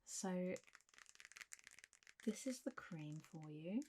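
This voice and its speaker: A woman doing a soft, half-whispered ASMR roleplay as a gentle, reassuring doctor talking a patient through care, in a close recording with faint hiss. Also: fairly low pitch, expressive. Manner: gentle, reassuring, unhurried doctor's bedside talk. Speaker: ASMR performer playing a clinic doctor.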